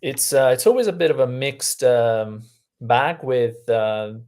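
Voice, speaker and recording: A man who is a native Italian speaker, coaching on a live-stream lesson in an unhurried way, with many ums and restarts. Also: mid-range voice, darkish tone, a little hiss or hum. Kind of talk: live-stream lesson, reading chat aloud.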